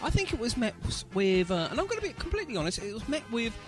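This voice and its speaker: A man's voice: very high voice, sing-song, fast talker, faint music behind. A man hosting a casual radio interview, chatting in a jokey, effusive way. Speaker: radio show host.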